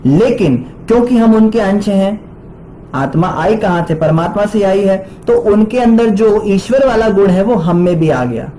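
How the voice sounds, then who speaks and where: High-pitched man, teaching scripture. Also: expressive, a little slow, dark tone, slight background noise, low-quality recording. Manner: explanatory, with rhetorical questions and everyday examples. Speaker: religious teacher giving a scripture class. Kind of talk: devotional scripture lecture.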